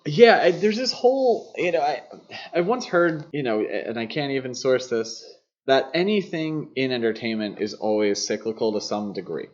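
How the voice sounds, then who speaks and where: A man's voice: mid-range voice, moderate pace. A man co-hosting a podcast, discussing film casually in an opinionated, conversational way. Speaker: podcast co-host. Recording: dull, muffled lo-fi podcast audio.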